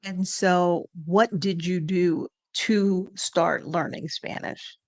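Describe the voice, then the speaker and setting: A woman hosts an interview. Her voice sits a little low for a woman. She asks her questions in a calm, measured way. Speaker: host.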